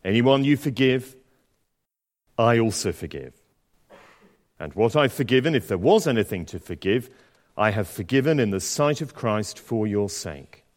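Slightly croaky man preaching in a church. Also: lowish voice, darkish tone. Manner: conversational, self-deprecating, with fillers and restarts. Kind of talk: sermon with scripture reading. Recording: long church reverb.

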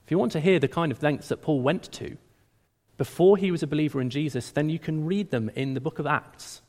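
A man preaching a sermon in a conversational, animated way, often restarting his words. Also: fairly high pitch, fairly quick. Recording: long hall reverb.

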